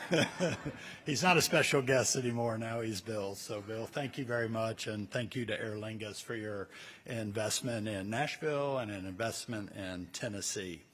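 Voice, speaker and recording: A man hosting a press event, giving introductions from the podium in an informal, halting way, recorded live. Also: fairly low pitch. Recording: clear background noise, live event ambience.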